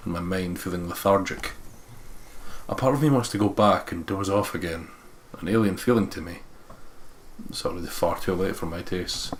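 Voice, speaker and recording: Guy with a low voice narrating a visual novel, sweary joking asides, bit of room noise behind him. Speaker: let's play host. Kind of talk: reading visual novel narration aloud with jokey commentary.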